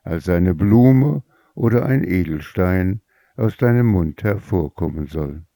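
Male narrator voicing a storybook fairy: calm, deliberate, dark-toned. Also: low voice, no room sound, clean studio sound, full character voice. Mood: kindly. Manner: calm, measured. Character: fairy disguised as old woman, gentle then stern.

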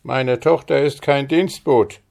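Give me a man playing a sleepy old farmer in a storybook, gruff and drawling, pitched high. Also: takes it slowly, darkish tone.

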